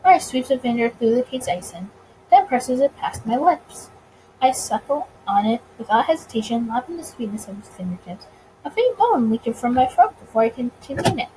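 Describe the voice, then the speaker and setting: A woman reading a visual novel aloud as a let's player, expressively and with dramatic pauses. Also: on the high side, sing-song, warm tone, a little hiss or hum, poor audio. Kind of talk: expressive story reading with reactions.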